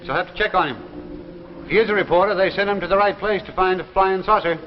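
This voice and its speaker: Casting: male actor as folksy old American small-town oddball, high voice, suspicious, muttering; muffled vintage drama recording with long reverb. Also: fast, natural voice. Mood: scheming, knowing. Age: older. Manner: folksy, cagey, muttering to himself. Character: eccentric, suspicious old small-town local man called Crazy John. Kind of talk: 1950s television drama acting. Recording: muffled, noisy 1950s broadcast in a reverberant studio.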